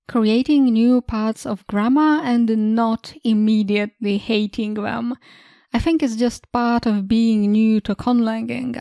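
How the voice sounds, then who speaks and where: A young woman with a Polish accent reads her channel's questions and her own answers in a calm, matter-of-fact way. Her voice sits fairly high but has a soft, mellow tone. The recording is dry and close, with no room sound. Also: no room sound. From Eastern Europe. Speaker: channel host. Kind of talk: scripted Q&A narration.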